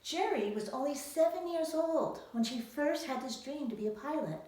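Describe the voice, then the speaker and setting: A woman's voice: high voice, animated, normal speed, darkish tone. A woman author giving an emphatic storytelling talk to young readers, posing rhetorical questions. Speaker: author presenting own book to young readers. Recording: very echoey room, distant from microphone.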